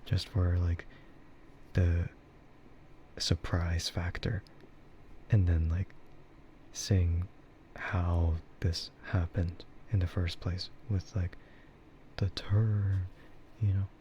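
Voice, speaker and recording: A man with a low, soft voice chats in an easygoing ASMR style. He rambles hesitantly at a slow pace and often drops into a whisper. The audio is muffled, with clear noise behind him. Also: flat delivery. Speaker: VTuber streamer. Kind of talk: soft ASMR casual chat.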